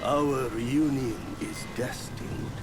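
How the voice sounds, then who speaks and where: An actor playing a proud, helpful mentor in a video game, speaking in a formal, grandiose and archaic way. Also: male voice, mid-range voice, takes it slowly, over music, lightly acted. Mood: measured warning. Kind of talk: dramatic video game cutscene acting.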